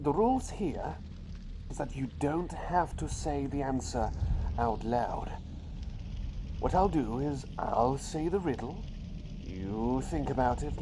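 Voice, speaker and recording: A man voicing an eccentric, playful doctor turned talking bird, in soft-spoken ASMR roleplay with whispers and whimsical, unhurried phrasing. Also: mid-range voice, lightly acted. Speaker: riddle-teller. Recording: clear background noise with outdoor ambience.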